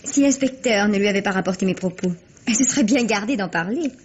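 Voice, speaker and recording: A woman's voice: medium pitch, fast talker, breathy edge, close to an everyday voice. A woman playing a proud, spiteful young would-be starlet with biting, defiant theatrical delivery, in a 1950s French radio mystery. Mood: indignant, spiteful. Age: young adult. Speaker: actor. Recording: dull vintage radio recording.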